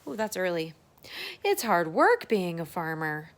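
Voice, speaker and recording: Woman storybook reader for little kids: unhurried, very animated pitch, chatty asides and questions. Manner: expressive, exclaiming, asking young listeners questions. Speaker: children's circle-time teacher and storybook reader. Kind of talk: children's storybook read-aloud with chatty asides.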